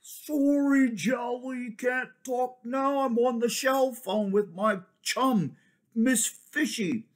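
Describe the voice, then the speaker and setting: A reader voicing a chatty, distracted blowfish in a high, dismissive voice. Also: takes it slowly, lightly acted.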